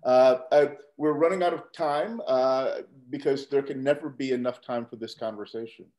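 A man's voice: medium pitch, moderate pace, darkish tone. An older man moderating a panel over a video call, talking with many hesitant fillers. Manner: hesitant, filler-heavy speech.

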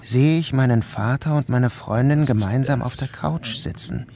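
A man's voice: medium pitch, moderate pace, vocal fry, darkish tone, close to an everyday voice. A man acting a troubled, bewildered son who narrates in a literary first-person radio drama. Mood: dreamlike, brooding. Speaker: narrator and protagonist.

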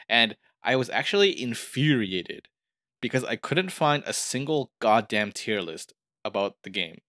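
Bright-voiced male gaming podcast host. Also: fairly low pitch, dry recording, studio quality. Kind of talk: casual gaming podcast discussion.

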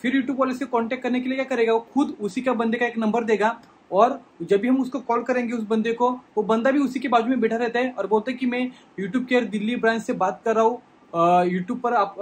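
A young man explaining informally and emphatically to viewers, repeating points, recorded in a small room. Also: high voice. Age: young adult. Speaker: vlogger warning about scams. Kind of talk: explanatory talk to camera.

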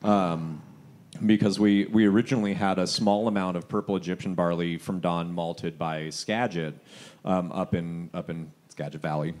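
A man's voice: deep voice, steady tone, moderate pace, a little mellow. A man, a head brewer and guest on a stage panel, chatting casually with many fillers and restarts.

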